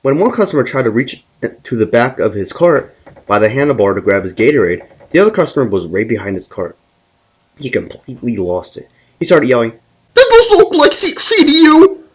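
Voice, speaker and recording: A man's voice: mid-range voice, very animated, a little fast, husky. A man hosting a scripted comic story, narrating it with stumbles and repeated words as he reads. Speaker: host and storyteller.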